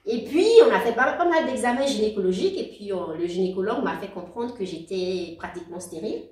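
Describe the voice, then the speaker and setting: A woman's voice: medium pitch, lively intonation. Distant, roomy woman in her forties. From outside Belgium. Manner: warm, halting, many restarts and laughs. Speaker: guest. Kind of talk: casual interview talk.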